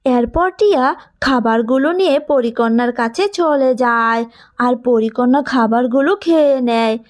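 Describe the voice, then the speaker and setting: A woman narrating a cartoon fairy tale in a steady, measured storytelling manner. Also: sits high.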